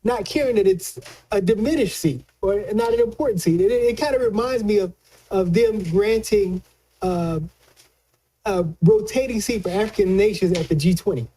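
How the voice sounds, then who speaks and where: A man giving conversational political commentary as a news analyst, colloquial and halting, often repeating words as he explains. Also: sits high, mellow, poor audio.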